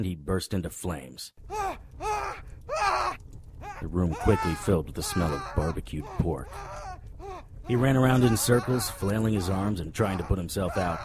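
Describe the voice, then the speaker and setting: A man's voice: low-pitched, close to an everyday voice. An actor playing a hard-boiled, wisecracking private detective, narrating a noir radio drama in a wry, tough-guy manner.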